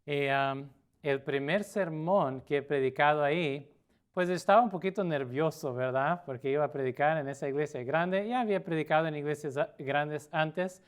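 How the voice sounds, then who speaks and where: A middle-aged man from Portugal, a native Portuguese speaker, preaching a sermon as a pastor with an earnest manner and frequent pauses. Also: a little high, clean tone. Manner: earnest, with pauses and filler sounds.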